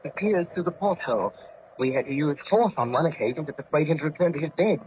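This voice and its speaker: An actor playing an uneasy, deferential sailor in an old radio drama, speaking formally and respectfully with an anxious manner. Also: male voice, on the high side, animated, fast, a little mellow, close to an everyday voice. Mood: reporting, concerned. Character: uneasy, deferential sailor reporting to his captain. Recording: muffled, noisy old radio recording.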